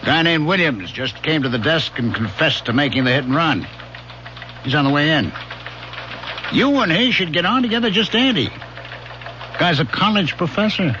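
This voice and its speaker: A man's voice through heavy noise and a dull old radio sound. a sardonic city police lieutenant, slangy and jaded, with pitch that swings freely through every line. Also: sing-song, moderate pace, pauses often. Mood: smug, needling. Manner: wisecracking, slangy. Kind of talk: 1970s radio drama acting. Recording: noisy, muffled old radio broadcast.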